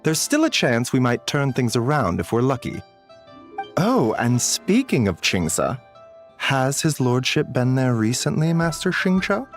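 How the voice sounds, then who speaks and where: An actor playing a gentle, modest doctor in a video game, speaking in a polite, formal, measured manner. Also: male voice, medium pitch, very animated, faint music behind. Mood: cautiously hopeful. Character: gentle, courteous male doctor, composed and modest.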